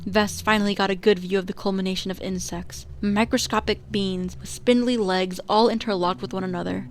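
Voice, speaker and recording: A woman's voice: mid-range voice, steady tone, brisk, a little hiss or hum. A woman reading scripted narration for a radio drama as its narrator.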